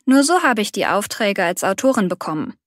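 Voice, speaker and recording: A woman's voice: mid-range voice, sing-song, fairly quick, no echo, clean studio sound, natural voice. A woman reading the part of a friendly young job applicant who explains herself readily, in a scripted dialogue for learners. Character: young female applicant, a freelance writer.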